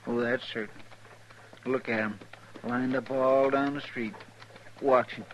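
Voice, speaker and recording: A man playing a soft-hearted, plaintive frontier sidekick with a rural Western American accent and a folksy, rambling manner, in 1950s radio acting. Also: medium pitch, steady tone, measured pace, muffled recording, natural voice. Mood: sad, indignant. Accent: rural American West. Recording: old radio recording, faint noise.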